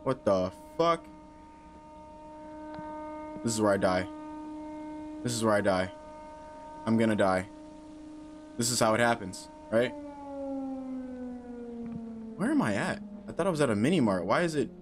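A man's voice: lowish voice, lively intonation, unhurried, long pauses, background noise. A young man in his twenties streaming a horror game on a headset mic, joking nervously and talking to himself. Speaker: gaming streamer. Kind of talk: casual horror game commentary.

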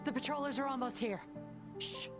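A woman's voice: high voice, a little fast, natural voice. A woman voicing a sly, composed young woman who teases and stays in control, in a game with music behind. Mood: smooth deflecting. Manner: smooth, teasing, in control.

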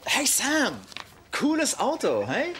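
A man's voice: very high-pitched, wildly swooping pitch, slow, noisy background, heavily acted. An actor playing a cheeky young man who teases and laughs as he talks. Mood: teasing. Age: young adult. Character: cheeky young male neighbour, cocky. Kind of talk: sitcom acting.

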